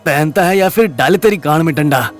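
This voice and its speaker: A cruel young male gang leader from an audio story, acted by a man. His voice sits a little high and he taunts and threatens crudely. Soft music plays underneath. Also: animated, a little fast, lightly acted. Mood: menacing. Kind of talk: Hindi audio story character acting.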